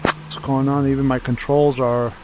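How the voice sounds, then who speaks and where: A man thinking aloud haltingly as he plays a pilot in a flight simulator, mixing commentary with radio calls. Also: medium pitch, little pitch movement, background noise.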